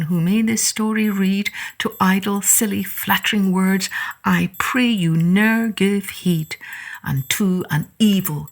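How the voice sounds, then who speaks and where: A woman hosting a children's story podcast, reading scripted narration in a deliberate, expressive way. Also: lowish voice, vocal fry.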